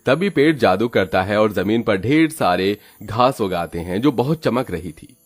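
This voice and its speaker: Low-voiced male story narrator. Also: expressive, a little slow. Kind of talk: scripted moral story narration.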